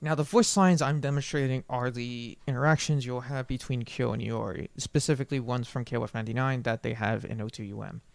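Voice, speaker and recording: Man casually talking through a software tutorial. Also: mid-range voice, fairly even intonation, brisk, creaky, hardly any pauses, no echo. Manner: informal, rambling, self-correcting. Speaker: tutorial presenter. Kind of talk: unscripted software screen-recording tutorial.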